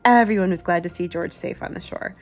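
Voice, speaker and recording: A woman's voice: lowish voice, brisk, creaky, a little mellow, soft background music. A woman reading a children's picture book aloud expressively, with simple asides to a young listener. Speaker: children's book reader, channel host.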